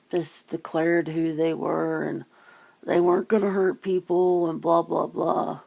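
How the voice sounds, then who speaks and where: A woman chats casually in a lowish voice full of heavy vocal fry. She rambles from thought to thought, teasing and swearing freely as she goes. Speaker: ASMR channel host. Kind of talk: casual phone chit-chat.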